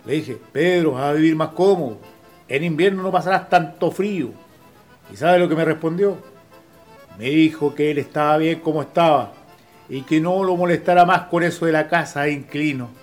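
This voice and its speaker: An actor playing a resigned, kindly older landowner with a Chilean accent and a paternal, colloquial manner, in a radio drama. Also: male voice, a little high, moderate pace, soft background music, lightly acted.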